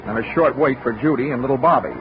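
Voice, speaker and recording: An actor playing a wry, sardonic hard-boiled insurance investigator in a 1940s radio detective drama. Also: male voice, medium pitch, quick pace, close to an everyday voice. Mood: hard-boiled narration. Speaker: lead actor and narrator. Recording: noisy, muffled 1940s radio recording.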